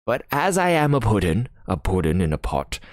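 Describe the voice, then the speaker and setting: A man voicing a gloomy, grumbling storybook pudding in a gruff, mournful voice. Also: lowish voice, very animated, moderate pace, dry recording, clean studio sound, pitched above the performer's own voice. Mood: gruff mournful singing.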